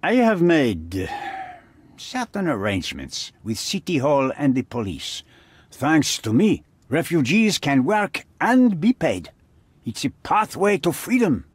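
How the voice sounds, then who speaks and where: An actor playing a smug middle-aged businessman who bargains smoothly and theatrically in a video game. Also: on the high side, very animated, measured pace, no echo, exaggerated voice. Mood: self-justifying. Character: smug, scheming middle-aged male businessman with shady connections. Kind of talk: video game cutscene acting.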